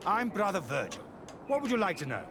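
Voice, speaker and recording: An actor playing a guarded, zealous missionary monk and scholar in a video game, speaking in a measured, dismissive way. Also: male voice, high voice, huge pitch swings, background noise. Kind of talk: video game cutscene acting.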